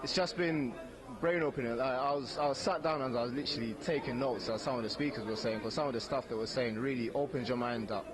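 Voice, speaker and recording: A man's voice: medium pitch, fast. A young man from Uganda, who grew up speaking Luganda and Runyankole, answering an interviewer's questions in an earnest, thoughtful way and often repeating his first words. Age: young adult. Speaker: interviewee at community event. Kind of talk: casual street interview. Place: outdoor community event. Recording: outdoor recording with crowd noise. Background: crowd chatter.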